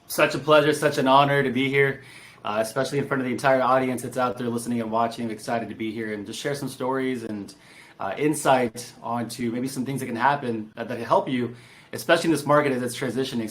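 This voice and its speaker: A man's voice: medium pitch, fairly even intonation, fast, a bit bright, low-quality recording. A man from San Diego, telling his story informally and personably as a podcast guest. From San Diego, California. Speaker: podcast guest, real estate entrepreneur. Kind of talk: casual interview storytelling.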